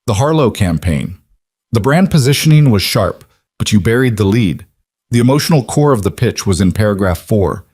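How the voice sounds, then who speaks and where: A man's voice: fairly low pitch, lively intonation, normal speed, a little mellow, breathy edge, no room sound. A man voicing a blunt, focused businesswoman in her thirties, speaking in a clipped, matter-of-fact way for a narrated story.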